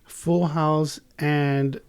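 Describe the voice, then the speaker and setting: A man's voice: fairly high pitch, little pitch movement, very slow, smooth. A man in his forties from Israel, a native Hebrew speaker, casually telling personal stories on a podcast. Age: 40s. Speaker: podcast guest and co-host.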